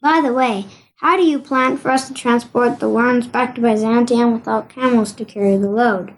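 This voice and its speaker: A woman plays a weary, grumbling monk in an audio play, dry and sarcastic. The voice is fairly high, over faint noise. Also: fairly even intonation, moderate pace, natural voice. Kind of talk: amateur audio play acting.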